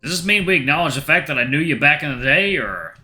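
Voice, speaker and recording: A man's voice: a little high, expressive, fast, bright, forceful tone, pitched above the performer's own voice. A man playing a stubborn, laid-back grown man with an offhand, defensive way of talking. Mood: indignant.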